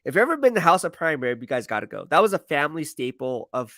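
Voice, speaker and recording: A man's voice: on the high side, sing-song, quick pace, sharp tone, dry recording, clean studio sound. A man chats casually on a sports live stream, rambling with slangy emphasis and often repeating himself as he answers viewers. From San Francisco Bay Area, United States. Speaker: sports streamer and football commentator.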